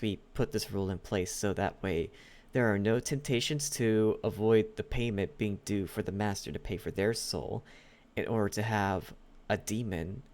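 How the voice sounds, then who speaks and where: A man playing a possessive, lovesick demon butler in an intimate ASMR roleplay, coaxing with a halting delivery and lingering pauses. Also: lowish voice, darkish tone, lightly acted. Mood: sly, explanatory.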